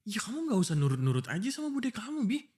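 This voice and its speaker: A man's voice: high-pitched, sing-song, fast talker, a little mellow, husky, full character voice. A man acting an exasperated, protective young fiancé in a boyfriend ASMR roleplay, pleading and scolding informally. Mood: insistent, exasperated. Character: exasperated, protective young fiancé arguing before his wedding. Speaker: voice actor. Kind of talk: boyfriend ASMR roleplay, one-sided dialogue.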